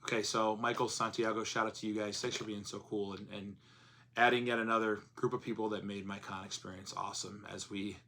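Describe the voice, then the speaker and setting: A man talks to his viewers in a loose, rambling vlog voice, still hoarse from a cold. His tone is bright and forceful, sitting a little low in pitch. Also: flat delivery, fairly quick, a little hiss or hum. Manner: rambling, chatty, frequent fillers. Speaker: comics vlogger. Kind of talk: casual vlog narration.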